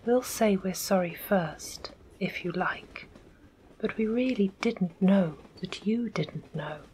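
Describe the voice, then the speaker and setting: A woman voicing an emotional, pleading young girl in a soft, raised whisper. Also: mid-range voice, slow pace, slight background noise, natural voice. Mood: coaxing.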